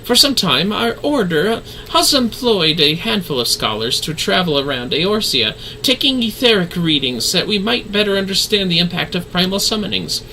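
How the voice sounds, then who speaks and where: A woman voicing a composed, gracious female leader in a fantasy game, speaking in formal, archaic, measured phrases. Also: medium pitch, sing-song, bright, forceful tone, slight background noise, rough recording. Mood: gracious, apologetic.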